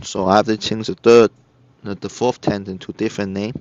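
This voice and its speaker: Low-voiced man, halting software tutorial, dull audio. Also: little pitch movement. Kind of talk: step-by-step instructional narration. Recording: dull lo-fi computer recording. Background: screen-recorded tutorial voice-over.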